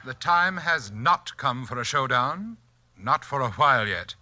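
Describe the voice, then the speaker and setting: An actor playing a coldly composed, haughty villain with a velvety, soft-spoken voice and precise diction in a 1940s radio suspense drama. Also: male voice, medium pitch, lively intonation, unhurried, no room sound. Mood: calm menace. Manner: haughty, polite, menacing, precise formal diction. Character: coldly composed sinister brother-in-law, a self-proclaimed occult hypnotist. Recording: noisy old 1940s radio recording with clicks.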